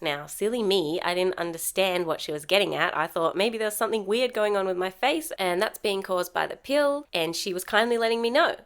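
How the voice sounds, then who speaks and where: A woman's voice: medium pitch, a little fast, few pauses. Wry, sarcastic woman podcaster. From Sri Lankan heritage. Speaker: podcast host. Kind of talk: solo comedic podcast monologue.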